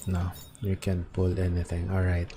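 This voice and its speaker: A man doing casual, low-key livestream commentary on a game, speaking hesitantly. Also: monotone, normal speed, very noisy. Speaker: game streamer. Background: game music.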